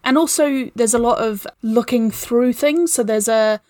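A woman with a fairly high voice talks casually about films as a guest. Her commentary is appreciative and opinionated, recorded very dry with no room sound. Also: unhurried, dark tone, no echo. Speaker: podcast guest. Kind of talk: casual film discussion.

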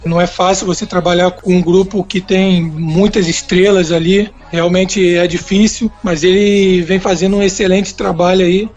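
A man answering questions as a guest on a radio interview, chatty and relaxed, laughing easily. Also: sits high, muffled recording. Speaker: basketball player guest.

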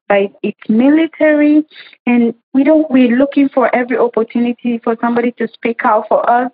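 Woman from Cameroon, slightly high voice, earnest urgent appeal, lo-fi phone-like sound. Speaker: testimony speaker. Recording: tinny phone-like recording.

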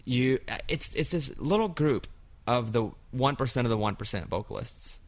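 A man hosts a relaxed sit-down chat at an everyday mid pitch. He circles around his questions at length and keeps slipping in playful jokes. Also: normal speed. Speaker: host and comedian. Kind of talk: casual interview chat.

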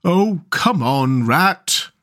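A man voicing a self-pitying, literal-minded male mole in a storybook, in a plaintive, pettish, deliberate way. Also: on the high side, big pitch swings, heavily acted. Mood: sulky, impatient. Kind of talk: storybook character voice.

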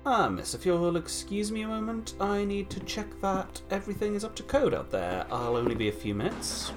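A man's voice: sits high, moderate pace, music in the background. An actor playing a polite, unflappable male conductor of a time-travelling train in an audio drama. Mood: courteous. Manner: courteous, formal, lively rising tones.

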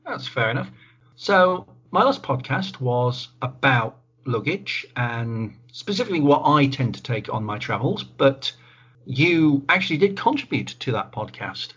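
A man hosting a podcast, chatting in a relaxed, informal way over a video call. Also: medium pitch, measured pace, breathy edge. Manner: relaxed, informal, British slang. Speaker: podcast host. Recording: dull, lo-fi call audio.

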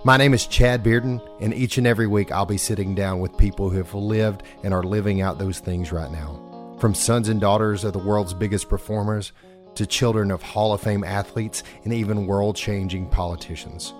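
A man reading a scripted podcast promo in a smooth, measured way. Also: on the low side.

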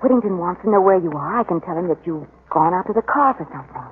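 A woman's voice: lowish voice, lively intonation, fast talker, narrow, tinny audio, lightly acted. A woman playing an anxious, genteel young companion in peril, politely worried, in 1940s radio mystery style on an old recording. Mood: anxious, pleading. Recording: noisy, reverberant, thin 1940s radio recording.

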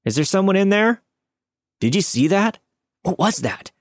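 A man's voice: high-pitched, big pitch swings, normal speed, no echo, studio quality, voice pushed up. A man voicing panicked teenagers in a horror story, with urgent, alarmed exclamations. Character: panicked teenagers fleeing a cemetery at night.

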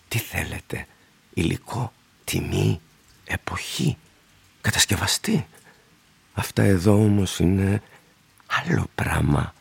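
An actor playing a polite, eerily insistent old shoe-shiner in a radio drama, with a gravelly, husky, breathy voice and a confiding manner. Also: male voice, on the low side, a little mellow, exaggerated voice. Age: older.

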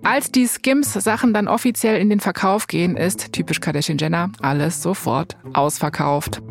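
A woman's voice: fairly low pitch, moderate pace, creaky. A young woman hosting a podcast, telling a scripted story in a colloquial, wry way with casual asides and bits of English slang. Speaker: podcast host. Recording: music bed behind the voice. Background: music.

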